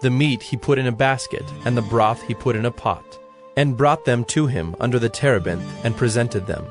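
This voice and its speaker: Guy narrating bible story, normal pitch, measured, faint music in the background. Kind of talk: scripted scripture narration.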